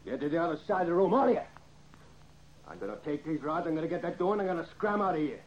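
A man plays a surly gangster in a vintage radio mystery. His fairly high voice talks in threatening tough-guy slang. The recording is dull and faintly hissy. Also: lively intonation, very fast, close to an everyday voice. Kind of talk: old-time radio drama acting.

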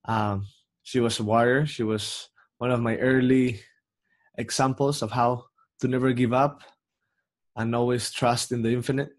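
A man's voice: medium pitch, steady tone. Reflective young man hosting a podcast. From Mexico. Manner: slow, reflective, with many pauses and ums. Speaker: podcast host. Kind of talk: solo podcast monologue.

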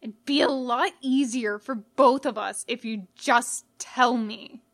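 A woman voicing a gentle, casual male skeleton monster in a story, earnest and puzzled. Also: a little high, slow pace. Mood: baffled.

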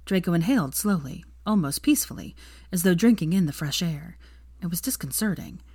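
A woman reading fan fiction aloud as expressive, scripted story narration. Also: on the low side, brisk, slightly rough, no echo, studio quality.

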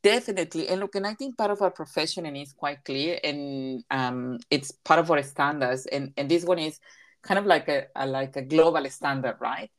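A woman with a Mexican accent and a strikingly low, deep voice. She grew up speaking Spanish, and she answers interview questions as a therapy professional. She is emphatic and circles back to repeat her key points. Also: normal speed. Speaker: recreation therapy leader.

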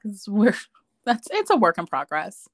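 A woman's voice: medium pitch, huge pitch swings, unhurried, dry recording, studio quality. A woman talking casually with a friend, telling a story in a chatty way with lots of fillers. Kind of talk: casual chat.

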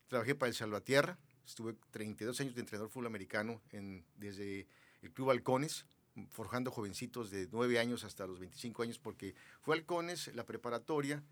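Man, sixties, from Mexico City, interview guest, low-ish voice, rambling, fillers and restarts. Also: a bit bright, dry recording. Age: 60s. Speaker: guest, businessman. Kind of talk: casual interview talk.

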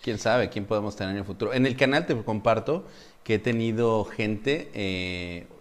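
A man's voice: on the low side, normal speed. A middle-aged man from Mexico City with a Mexican accent, hosting a casual live-stream chat and teasing his guest, with lots of fillers. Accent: Mexican Spanish. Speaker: host.

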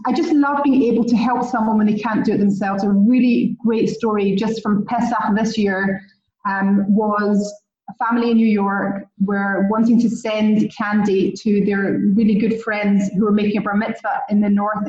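A woman's voice: mid-range voice, little pitch movement, moderate pace, darkish tone. A woman with a Scottish accent, telling stories with enthusiasm as a podcast guest. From Scotland. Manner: chatty, enthusiastic storytelling. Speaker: guest, relocation concierge. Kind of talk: podcast interview. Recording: roomy, distant, muffled.

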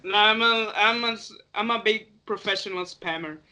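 A woman's voice: medium pitch, moderate pace, pushed and bright. A woman from Paraguay, a group moderator, joking in casual podcast chat. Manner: joking, self-mocking.

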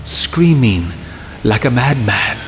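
A man hosting a horror audio series, narrating in a measured, ominous and theatrical manner. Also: mid-range voice, lively intonation, slight background noise.

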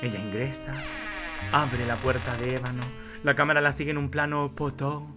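A man playing a flamboyant, lascivious art-film director in a campy radio play, with melodramatic, pompous, drawn-out delivery. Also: medium pitch, level tone, over music, lightly acted. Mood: hushed, florid.

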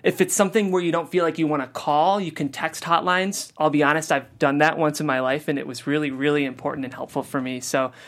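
A man's voice: a little high, fairly even intonation, quick pace, few pauses, fairly bright tone. A man hosting a podcast talk after a script reading, speaking earnestly and with many fillers. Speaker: podcast host. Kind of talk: casual discussion after a table read.

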